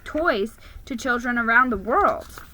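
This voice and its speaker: Woman acting timid male mouse, storybook hero, polite, hesitant, high-set, very bright tone. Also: animated, a little slow, exaggerated voice, low-quality recording. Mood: resigned.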